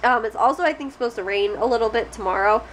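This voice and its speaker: A young woman chats to a close camera in a small bedroom. Her voice sits a little high, and she rambles along with fillers and restarts. A faint hiss sits under her speech. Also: fast, rough recording. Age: young adult. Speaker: family vlogger. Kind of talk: casual chat to camera. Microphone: close camera at face height.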